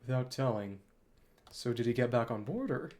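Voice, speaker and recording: A woman's voice: very deep voice, lively intonation, normal speed, a little mellow, a little hiss or hum. A woman streaming a video game, reading the story text aloud and casually thinking through it as she goes.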